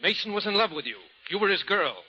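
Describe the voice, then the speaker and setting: A man's voice: high voice, lively intonation, fast, breathy edge. An actor playing a stern, pressing male parole board official in a vintage radio drama. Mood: insistent. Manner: pressing, insistent interrogator. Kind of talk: 1940s radio acting. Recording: dull lo-fi old radio transcription.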